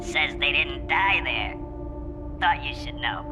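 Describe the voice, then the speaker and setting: A man's voice: a little high, lively intonation, slow. A man playing a nervous creature security chief in a video game cutscene, deferential and hesitant toward a superior. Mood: deferential, uneasy. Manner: hesitant, eager to please. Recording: voice under loud music.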